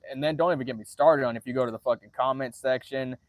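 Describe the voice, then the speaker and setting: Monotone man with a dry, close sound. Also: mid-range voice, no echo. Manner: halting, repeats and restarts phrases; frequent swearing. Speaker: livestreamer answering viewer chat. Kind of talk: casual livestream chat.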